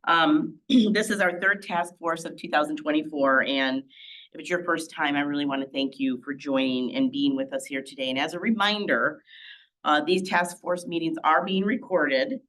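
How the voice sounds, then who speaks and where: An older woman with a cold, clearing her throat as she formally opens a public task force meeting as its chair. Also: deep voice, normal speed, rough recording. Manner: steady, formal, with many ums. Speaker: city council member chairing a meeting. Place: meeting room.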